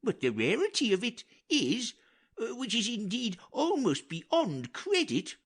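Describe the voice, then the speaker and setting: An aged, optimistic counsellor of a Shakespearean court. The voice is raised and husky, swinging widely in pitch at a slow pace. He speaks in earnest, wordy periods. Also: huge pitch swings, a bit bright, full character voice. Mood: marvelling. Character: talkative, optimistic old male royal counsellor. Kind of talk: dramatic Shakespeare audiobook reading.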